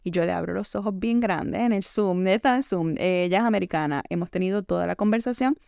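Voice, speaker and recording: A woman's voice: medium pitch, a little fast, vocal fry, a little mellow, smooth, dry recording, studio quality. A young adult woman hosting a podcast, talking through a reflective self-help monologue in a conversational way, leaning on the filler "entonces" and dropping in English words. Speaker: podcast host.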